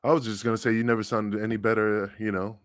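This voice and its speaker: A man's voice: lowish voice, flat delivery, quick pace, clean tone, no echo, studio quality. A man from the United States hosting a casual podcast, talking loosely with lots of fillers and hyping up his guest. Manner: hype-man intro, loose and chatty with many fillers. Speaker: podcast host, former wrestler.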